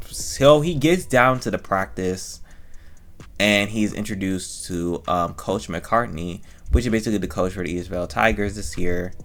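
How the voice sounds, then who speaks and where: A man's voice: deep voice, moderate pace, a bit bright. A man giving a casual, chatty review commentary as host, rambling and repeating himself in slangy talk. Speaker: reviewer of an audio drama and channel host.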